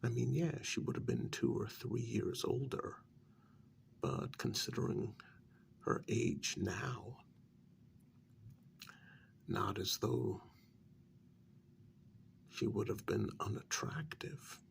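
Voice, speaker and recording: Man playing a slow, gravelly, soft-spoken vampire mediator. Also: mid-range voice, a little hiss or hum. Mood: exasperated, chiding. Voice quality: breathy, half-whispered, gravelly. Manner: measured, halting, chiding. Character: calm, reproachful male vampire mediator addressing a prisoner. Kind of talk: soft-spoken ASMR role-play.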